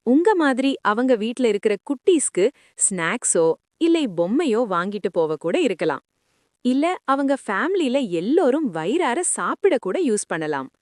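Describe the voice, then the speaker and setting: A woman telling stories to young children in an expressive, affectionate way. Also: a little high, dry recording, clean studio sound. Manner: animated, addresses listeners affectionately. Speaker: children's storyteller.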